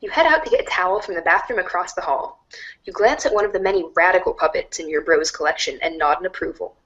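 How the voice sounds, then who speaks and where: A woman reading narration aloud in an animated storybook style for a reader's theater. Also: fairly low pitch, fast talker, muffled recording.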